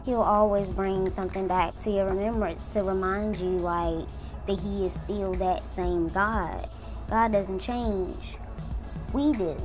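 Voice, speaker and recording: Woman in her 20s, vlogger, chatty and earnest, mid pitch, faint music underneath. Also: fairly even intonation, slow pace. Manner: chatty, earnest, addresses viewers as y'all. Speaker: beauty vlogger. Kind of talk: casual testimony while doing makeup.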